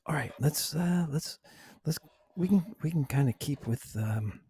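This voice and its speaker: A man hosting ASMR, speaking to the listener in a hushed, breathy whisper and soft voice. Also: fairly high pitch, expressive, measured pace, a little hiss or hum. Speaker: ASMR video host.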